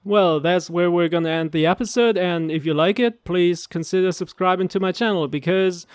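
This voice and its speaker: High, clear-voiced man chatting casually. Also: normal speed, no echo, studio quality. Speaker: video host. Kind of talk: casual language commentary.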